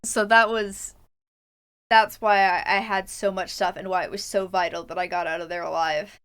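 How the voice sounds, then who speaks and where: A woman's voice: medium pitch, little pitch movement, normal speed, a bit bright, clear, resonant tone. A young adult Canadian woman streaming a game, chatting casually and reading her journal entries aloud. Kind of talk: casual livestream chat while gaming.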